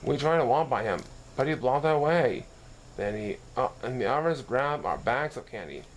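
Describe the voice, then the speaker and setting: A man's voice: mid-range voice, expressive, moderate pace, slight background noise, poor audio. A man reading a children's story aloud as narration, now and then stumbling and correcting himself. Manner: occasional stumbles and self-corrections while reading. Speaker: story reader.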